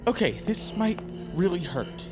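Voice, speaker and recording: A woman's voice: on the low side, animated, measured pace, loud music behind the voice. A woman playing an earnest, anxious rescuer in an audio drama, pleading and urging on an ally.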